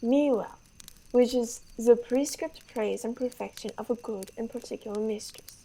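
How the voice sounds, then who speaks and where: Young woman as vain French prince for radio Shakespeare. Highish, soft-edged voice, declamatory, besotted with his horse. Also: normal speed, rough recording. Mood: lofty praise. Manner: grandiose, declamatory. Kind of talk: radio theater Shakespeare acting.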